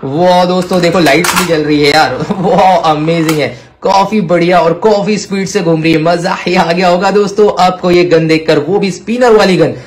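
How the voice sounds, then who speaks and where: A man hosting a toy showcase, talking to viewers with excitable, repetitive exclamations. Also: high-pitched, normal speed. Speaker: toy review video host. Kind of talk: enthusiastic toy showcase commentary addressed to viewers. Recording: clear background noise with clicks.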